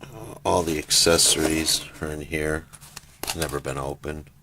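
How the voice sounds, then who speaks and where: A man giving a casual, plain-spoken product demonstration as a private seller, in an unhurried, informal way. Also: a bass voice. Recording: noisy home video, steady background noise.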